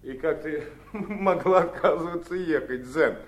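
A man's voice: fairly high pitch, measured pace, close to an everyday voice. An actor playing a brash, shallow, mocking young man in a 1970s Soviet radio drama, smirking as he talks. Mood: mocking, gleeful.

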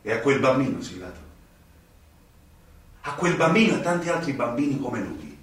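An actor playing an idealistic young magistrate in a radio drama, questioning insistently and rhetorically, with indignation. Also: male voice, mid-range voice, big pitch swings, moderate pace, a bit bright, a little hiss or hum. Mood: indignant, pressing. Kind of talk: theatrical radio drama acting. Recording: very echoey room, distant from microphone.

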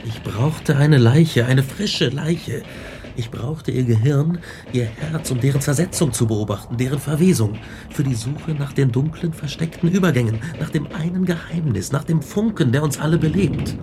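A man's voice: mid-range voice, moderate pace, background noise, natural voice. An actor playing an obsessive, haunted scientist in a radio drama, brooding intensely and dwelling on his words. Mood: obsessed. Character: obsessive, haunted male scientist recounting his grisly experiments. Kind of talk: radio drama acting and narration.

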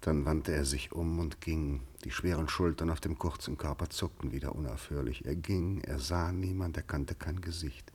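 Deep, husky male narrator. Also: moderate pace, hardly any pauses, darkish tone. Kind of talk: literary scripted narration in a radio play.

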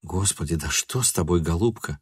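A male reader acts a bewildered, guilt-stricken man in a literary audiobook. The voice is fairly low and subdued, sorrowful and pleading. The sound is dry and close. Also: lively intonation, moderate pace, darkish tone, no echo. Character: bewildered, remorseful man, soothing his angry lover.

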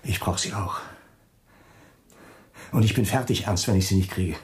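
An actor in a German radio drama playing a desperate, pleading Nazi camp commandant, agitated and complaining. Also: male voice, sits low, moderate pace, natural voice.

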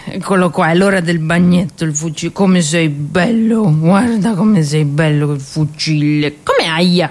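A woman performing a theatrical monologue as a drunk, lonely woman soldier in a First World War trench, rambling and halting in her speech. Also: on the low side. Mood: tipsy, playful. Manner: slurred, halting, tipsy rambling.

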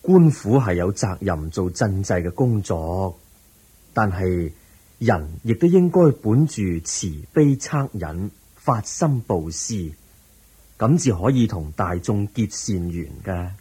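A man acting a calm, patient, wise teacher in a radio drama, measured and gently instructive, with a Hong Kong Cantonese accent. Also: low-pitched, husky, full character voice, poor audio.

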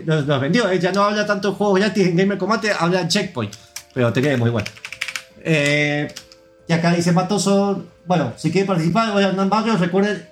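An Argentine man hosts a relaxed gaming podcast in a somewhat high voice, rambling from one news item to the next and reading listener comments aloud. Soft music plays faintly underneath. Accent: Argentine Spanish. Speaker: podcast host. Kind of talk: casual gaming news chat.

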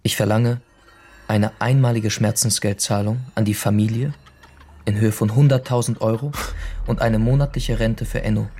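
An actor playing a brash, stubborn young blackmailer in a radio crime drama, pushy, defiant and slangy. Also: male voice, fairly low pitch, fairly even intonation, moderate pace, mellow, husky. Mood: coolly demanding. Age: young adult.

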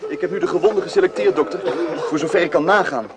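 An actor playing an anxious, dutiful, overwhelmed army medic in a radio drama. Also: male voice, sits high, fast talker, over a noisy crowd, close to an everyday voice. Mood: anxious, strained. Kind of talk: Dutch radio drama acting.

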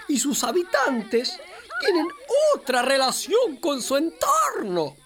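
A woman's voice: high voice, slow pace, a little hiss or hum, full character voice. A woman playing a friendly, wise capybara who patiently explains things to a child in children's radio theatre, with an Argentine accent. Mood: enthusiastic. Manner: patient, expressive explaining, storyteller-like. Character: friendly wise capybara guiding a little girl.

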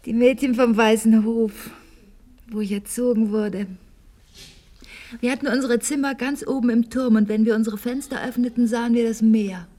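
An actress playing a wistful, high-strung lady of a wealthy household in a German radio play, speaking lyrically and haltingly, often hushed. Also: fairly even intonation, close to an everyday voice. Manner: theatrical, lyrical, halting, often dropping to a hush.

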